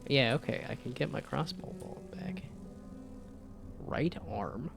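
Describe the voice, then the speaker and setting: A man's voice: medium pitch, lively intonation, slow pace, creaky, music in the background. A young-sounding man with a slightly nasal voice, chatting casually and rambling while he streams a game. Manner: laid-back, rambling with restarts. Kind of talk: casual gameplay chat.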